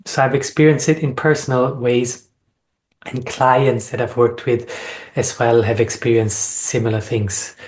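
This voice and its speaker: A man from Austria speaking as an interview guest, reflecting on personal experience in an earnest, measured way with deliberate phrasing. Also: mid-range voice, level tone. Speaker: sound healing facilitator and interview guest.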